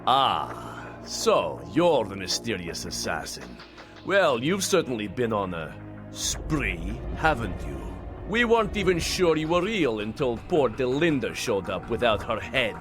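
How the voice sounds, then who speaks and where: A grand, mocking aristocratic vampire villain for a video game, pompous and florid. His pitch swoops extravagantly over background music. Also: big pitch swings, a little slow. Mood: smug, courteous. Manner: pompous, florid, mock-polite delivery. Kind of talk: video game cutscene acting. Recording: music behind the voice.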